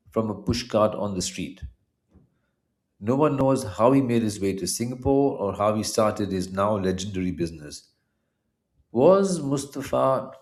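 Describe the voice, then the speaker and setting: A middle-aged man from India with an Indian accent and Punjabi as his mother tongue, narrating a scripted personal essay in measured, formal phrasing. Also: fairly low pitch, darkish tone.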